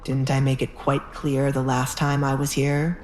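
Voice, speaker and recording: A young nobleman acted out by a woman speaks in a low, threatening tone. He is possessive and quietly unhinged, a swordsman from an audio romance drama. Soft music runs beneath the voice. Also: completely flat delivery, unhurried. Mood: menacing, mocking.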